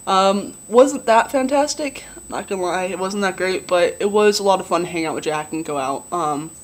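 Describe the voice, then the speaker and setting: A young man with a relatively low voice giving a casual video diary update, rambling with many ums and likes. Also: normal speed. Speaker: vlogger.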